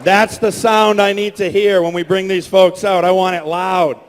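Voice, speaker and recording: A man's voice: high voice, flat delivery, moderate pace, noisy background. A man hosting a live convention panel over a stage microphone, projecting to hype up the crowd. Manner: hyping the crowd, projecting loudly. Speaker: panel host and creator. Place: large convention hall stage. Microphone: stage microphone through PA. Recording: echoey convention hall PA, long reverb.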